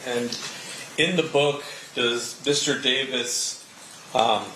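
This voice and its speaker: An American man questioning a witness as prosecutor in court, halting and feeling for his words. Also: mid-range voice, steady tone. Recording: echoey courtroom, distant mic, clear noise.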